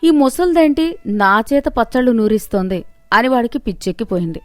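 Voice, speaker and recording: A woman's voice: a little high, animated, fairly quick, dry recording. A woman storyteller reading a scripted moral story as narration.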